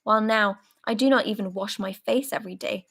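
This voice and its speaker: Woman voicing a hoarse, ranting soldier. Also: mid-range voice, brisk, fairly bright tone. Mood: anguished. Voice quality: hoarse, quivering. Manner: agitated outburst, pressing and accusing. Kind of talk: story read aloud.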